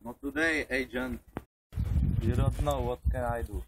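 A young man playing a scheming Russian crime boss in an amateur outdoor film, in a halting put-on Russian accent. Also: mid-range voice, fairly even intonation, a bit bright, a little hiss or hum, natural voice. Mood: menacing. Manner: slow, heavy-accented, halting delivery. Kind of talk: amateur fan film acting. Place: outdoors in a park.

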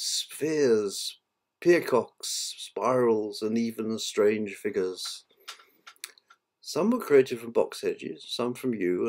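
An older man narrating a children's story from a book in a measured, amateur reading manner. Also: mid-range voice, darkish tone. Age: 60s or older. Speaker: author reading his own book.

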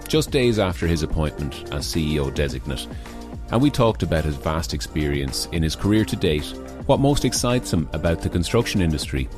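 A man hosts a company podcast and reads his introduction in a measured, scripted way. His voice is very low. Music plays clearly underneath him. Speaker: podcast host.

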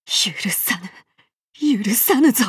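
An actress voicing a cruel, demon-blooded female warrior in a game, with soft, polite speech that turns threatening. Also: a little high, animated, extremely slow, breathy edge, no room sound, studio quality, heavily acted. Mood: sweetly menacing. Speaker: game character voice. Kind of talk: anime game voice acting.

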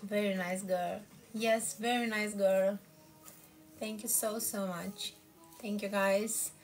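A woman's voice: mid-range voice, fairly even intonation, slow, faint music behind. A woman in her thirties from Moldova with a Romanian accent, chatting casually with viewers on a livestream from her bedroom, answering comments. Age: 30s. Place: bedroom in front of padded headboard, indoors. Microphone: phone camera at arm's length.

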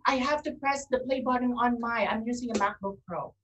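High-voiced woman chatting, slightly distant mic. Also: a little fast, a little hiss or hum. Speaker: online radio show co-host. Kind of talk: casual anecdotal chat. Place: indoors, on a video call. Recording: distant video-call microphone.

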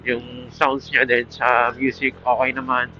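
A man's voice: medium pitch, completely flat delivery, measured pace, bright, forceful tone. A man with a Filipino accent giving a casual, informal product review as a motorcycle vlogger, talking outdoors into a helmet headset mic while riding. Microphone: Bluetooth helmet headset mic. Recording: muffled helmet intercom mic, road noise.

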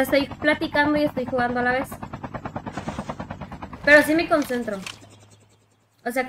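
High-voiced young Mexican woman streaming. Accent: Mexican Spanish. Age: young adult. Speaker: game streamer. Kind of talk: casual livestream chat while gaming. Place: indoor room, alone. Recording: game vehicle and helicopter noise behind. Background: mobile shooter game sounds, helicopter.

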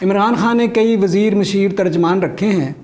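A man's voice: high voice, moderate pace, a loud crowd, very noisy. A middle-aged man with a Pakistani accent delivering a scripted satirical comedy monologue as a stand-up comedian. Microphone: lapel mic.

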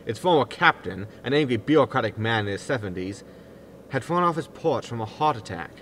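Male actor as disgraced space captain, exasperated, indignant, sharp bright voice, medium pitch, sci-fi radio comedy. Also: expressive, a little fast, slight background noise. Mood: grumbling, resigned. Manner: indignant, grumbling. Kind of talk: sci-fi sitcom audio drama acting.

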